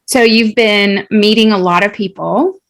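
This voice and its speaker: A woman's voice: medium pitch, measured pace. Enthusiastic woman podcast co-host. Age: middle-aged. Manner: warm, enthusiastic, repeats phrases. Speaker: podcast co-host, educator and writer. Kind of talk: casual podcast chat.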